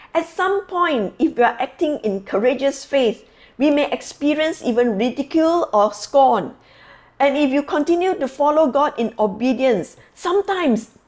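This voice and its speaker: Female pastor, middle-aged, higher-pitched voice, lively swooping delivery, deliberate emphatic preaching. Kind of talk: sermon. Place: small quiet office, indoors. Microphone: lapel mic.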